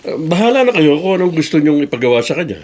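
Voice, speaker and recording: A man with a Filipino accent playing a brisk, dismissive office boss in a radio drama. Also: fairly high pitch, sing-song, darkish tone. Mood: businesslike.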